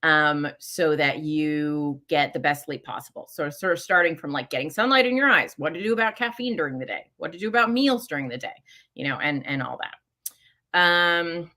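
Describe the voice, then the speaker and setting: A woman's voice: deep voice, expressive, normal speed, pushed and bright. A middle-aged woman hosting a live question-and-answer session, talking casually with many fillers and self-corrections. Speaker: host answering listener questions.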